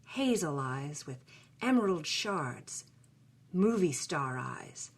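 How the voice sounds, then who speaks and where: A passionate young film actress played by a woman recounts her private journal in a fairly low voice. She is sensual and dramatic, lingering over her phrases as in an audio story. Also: very animated, slow pace, slightly rough, heavily acted. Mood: awestruck, sensual. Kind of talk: first-person dramatic journal reading.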